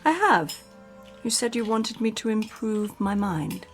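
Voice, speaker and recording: A woman's voice: a little high, animated, unhurried, faint music behind. A woman playing a long-suffering, politely guarded society wife in a radio drama, with an arch, understated manner. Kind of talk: classic radio drama acting.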